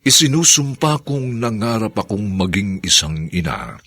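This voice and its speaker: A male radio drama announcer with a gravelly, husky voice. He narrates formally and theatrically, with extremely animated pitch. The sound is old-fashioned serial announcing. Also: moderate pace.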